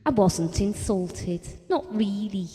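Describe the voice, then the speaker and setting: An actress playing a devoted working-class wife who reminisces in an audio drama, colloquial, confiding and wistful. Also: female voice, medium pitch, animated, slow, mellow. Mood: wry. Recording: long reverberant hall sound.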